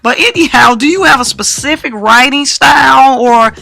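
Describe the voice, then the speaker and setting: Bright-voiced woman hosting radio interview. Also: sits high, moderate pace, gravelly. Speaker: radio show host, interviewer.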